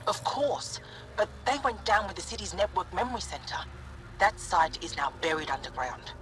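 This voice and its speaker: A courteous, weary alien researcher in a sci-fi game. The voice sits fairly high for a male, over clearly audible music. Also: big pitch swings, moderate pace. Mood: dejected. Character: alien researcher mourning lost work, weary but courteous. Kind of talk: video game dialogue.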